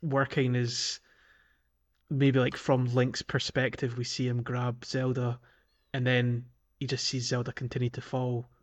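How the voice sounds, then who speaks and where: A man with a medium-pitched voice talks casually as a podcast co-host. His delivery is hesitant and rambling, circling round a point as in relaxed gaming chat. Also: a little slow.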